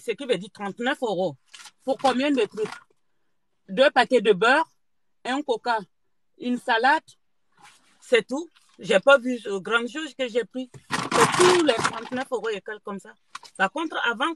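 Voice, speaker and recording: A woman's voice: on the high side, a bit bright. A woman from Guinea, hosting a live stream and chatting casually about prices, talking in a hesitant way with many fillers.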